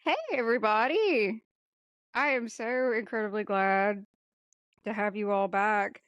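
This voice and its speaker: A woman's voice: mid-range voice, fairly even intonation, a little slow, a bit bright, no echo, highs cut off. Playful woman hosting, close dry sound. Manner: playful, teasing. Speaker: podcast host. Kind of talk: casual banter among co-hosts.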